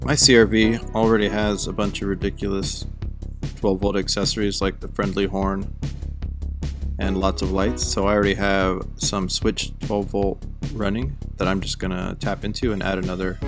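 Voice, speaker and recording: Low-voiced man narrating over music. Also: flat delivery, moderate pace. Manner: wry, jokey. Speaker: DIY car vlog host. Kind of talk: casual how-to vlog narration. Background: background music.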